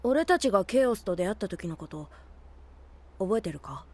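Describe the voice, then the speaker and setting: A woman playing a cocky, blunt boy with an old head on young shoulders, speaking in rough casual style in an anime audio drama. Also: mid-range voice, animated, normal speed, natural voice. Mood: wry. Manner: rough, casual, brash boyish speech. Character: cocky, blunt boy who is secretly much older.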